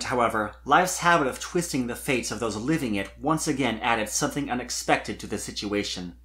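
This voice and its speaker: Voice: medium pitch, fairly bright tone. A narrator reading fan fiction aloud as a dramatic scripted story.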